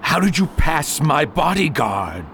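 A man's voice: fairly high pitch, big pitch swings, measured pace, background noise. An actor playing a pompous, power-hungry male senator in a radio drama, grandiose and blustering, as if always making a speech. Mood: startled, indignant. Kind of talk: old-fashioned radio drama acting.

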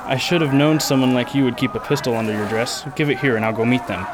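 A man acting a commanding passenger in a radio drama. He talks quickly and firmly, and clear background noise runs under the recording. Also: medium pitch. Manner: firm and commanding.

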